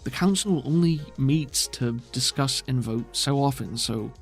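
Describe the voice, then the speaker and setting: A man's voice: mid-range voice, unhurried, soft background music, lightly acted. A man voicing a weary, worried woman official who sighs and speaks frankly in a tabletop game.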